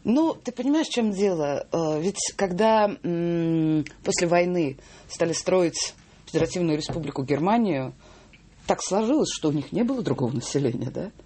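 A woman hosting a radio interview, speaking in a lively conversational way with drawn-out fillers. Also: fairly low pitch, a little hiss or hum. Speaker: radio host.

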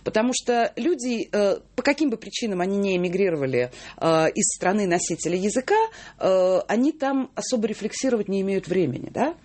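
A woman hosting a radio interview, speaking in a lively conversational way with drawn-out fillers. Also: medium pitch. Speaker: radio host.

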